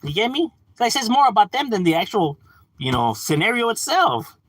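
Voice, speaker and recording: A man hosts a casual stream, talking straight into a close, dry mic. His voice is husky and sits a bit high, with a lively, swooping pitch. He argues his point with lots of "bro" and "you know", chuckling as he goes. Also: normal speed, no echo. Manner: animated, argumentative, addresses chat as "bro"; frequent laughs and filler "you know". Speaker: livestream host. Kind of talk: casual livestream rant.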